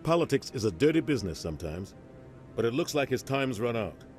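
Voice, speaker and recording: An actor voicing a seasoned, pragmatic military officer in a video game, explaining with measured authority. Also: male voice, mid-range voice, big pitch swings, darkish tone, soft background music, lightly acted. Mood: pragmatic, firm. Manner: matter-of-fact, authoritative explaining.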